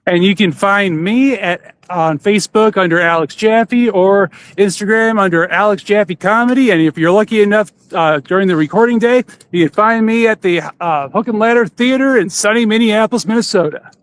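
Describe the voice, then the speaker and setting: A man's voice: high voice, normal speed, few pauses. A man hosting a podcast in a casual, chatty way, steering guests through their plugs. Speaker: podcast host. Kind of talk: casual chat.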